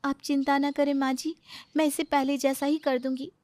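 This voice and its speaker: A woman's voice: high voice, little pitch movement, normal speed, a little mellow, no room sound, lightly acted. A woman playing a young, polite but exasperated new daughter-in-law in a comic family story, with a pleading, plaintive manner.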